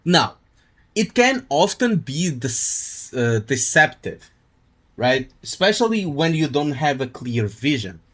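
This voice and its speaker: A man's voice: fairly high pitch, very animated, slow pace, fairly bright tone. A man doing casual, musing live commentary as he streams a game, rambling and swearing casually.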